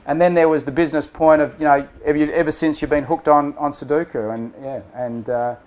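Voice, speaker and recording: A man's voice: a little high, steady tone, brisk, darkish tone. A man running a storytelling workshop for a group, speaking casually and often checking in with "okay?" and "right?". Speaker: workshop facilitator. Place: room with a group of people.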